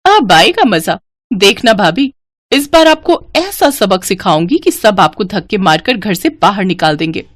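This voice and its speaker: A woman's voice: mid-range voice, very animated, measured pace, no room sound, studio quality. A woman voicing an arrogant, scheming college-age sister-in-law in a melodramatic family story, haughty and full of theatrical accusation. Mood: gloating.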